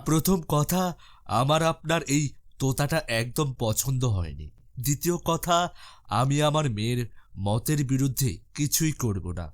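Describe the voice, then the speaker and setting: A man playing a wry, dignified king and father in an animated fairy tale. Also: mid-range voice, normal speed, natural voice. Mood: wry, formal. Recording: faint music behind.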